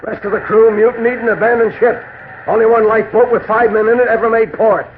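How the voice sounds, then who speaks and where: An actor playing a firm, skeptical ship's captain, brisk and authoritative in 1940s radio drama style. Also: male voice, sits high, fairly even intonation, close to an everyday voice. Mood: grave. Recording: scratchy tinny vintage radio, heavy noise.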